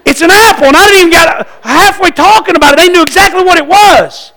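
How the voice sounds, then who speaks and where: A man's voice: very high voice, fast talker, pushed and bright. A man with a Southern US accent preaching an evangelistic sermon in a folksy, exhortatory way, putting questions to his listeners and repeating phrases for emphasis.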